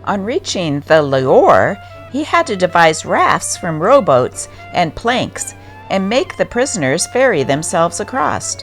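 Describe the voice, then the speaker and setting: A woman's voice: lowish voice, big pitch swings, takes it slowly. A woman hosting and reading old newspaper articles aloud as scripted narration. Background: music.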